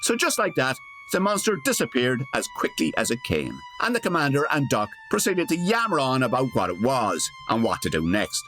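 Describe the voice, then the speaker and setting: A man's voice: medium pitch, huge pitch swings, brisk, hardly any pauses, bright, forceful tone. A man giving scripted comic narration for a radio drama parody, wry and theatrical. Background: music.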